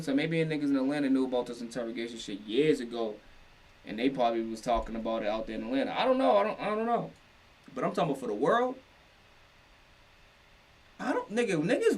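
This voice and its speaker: A man's voice: a little high, husky, far from the mic. A man giving casual, unscripted reaction commentary, slangy and profane, repeating himself for emphasis. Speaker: reaction video host.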